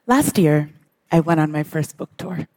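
A woman from the United States giving a prepared conference talk, with measured, deliberate phrasing and dramatic pauses. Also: low-pitched, expressive, heavy background noise. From grew up in the United States. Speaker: author, public speaker. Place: on stage at a live conference.

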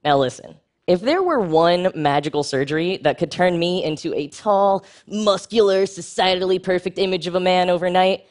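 A man giving a prepared public talk with a wry, frank, humorous delivery and lively jumps in pitch for emphasis.

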